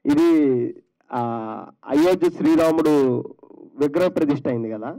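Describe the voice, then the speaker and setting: A man's voice: a little high, moderate pace, mellow, low-quality recording. A man working as a media reporter, putting questions at a press conference with hesitant, circling phrasing.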